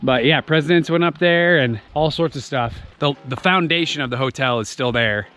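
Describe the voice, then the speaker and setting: A man in his thirties walking a wooded trail and talking to a handheld camera, chatty and excited about what he finds. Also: a little high, normal speed, faint music behind. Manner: enthusiastic, chatty, exclaims often. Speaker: vlogger. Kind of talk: casual walking vlog narration. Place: outdoors on a wooded mountain trail. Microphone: camera held at arm's length.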